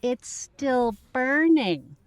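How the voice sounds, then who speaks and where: A sharp, caring woman played by a voice actress talks slowly and deliberately, with very expressive, varied pitch. She delivers her audio drama lines in a pointed way. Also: full character voice. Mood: playful. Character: sharp, playful, concerned woman on a date.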